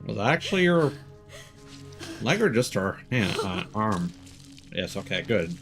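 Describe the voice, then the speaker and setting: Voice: medium pitch, big pitch swings, unhurried. A game streamer giving casual, profane live commentary with sardonic, joking asides. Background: video game music and sounds.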